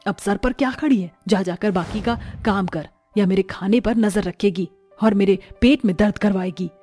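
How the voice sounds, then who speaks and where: A woman voicing a harsh middle-aged mother-in-law who curses and scolds her new daughter-in-law in a slow, drawn-out way, over faint music. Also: mid-range voice, warm tone, lightly acted. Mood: scolding. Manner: slow, drawn-out, cursing and accusing.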